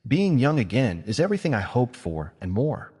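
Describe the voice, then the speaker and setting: A man's voice: medium pitch, sing-song, normal speed, a little mellow, husky, in a large hall. A man voicing a vain, playful witch woman who has been made young again, teasing and self-satisfied.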